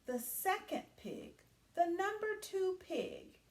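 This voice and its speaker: Female early-years teacher, high voice with very lively intonation, patient question-and-pause delivery. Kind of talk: read-aloud and question lesson for young children.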